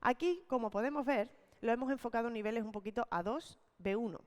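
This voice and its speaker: A woman leading an online teacher-training talk, explaining step by step and often checking in with quick tag questions. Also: a little high, darkish tone. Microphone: video call.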